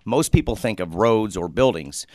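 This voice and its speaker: A man, a mayoral candidate answering interview questions earnestly, often pausing with fillers and restarting his sentences. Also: on the low side, lively intonation, darkish tone, no echo, clean studio sound. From Muncie, Indiana.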